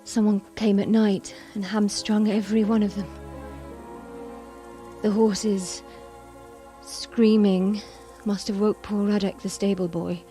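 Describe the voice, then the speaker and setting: A woman playing a grieving, bitter medieval widow in a video game, speaking in a halting, sorrowful way. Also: mid-range voice, little pitch movement, mellow, faint music behind, natural voice. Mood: stricken, grieving. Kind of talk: dramatic video game acting.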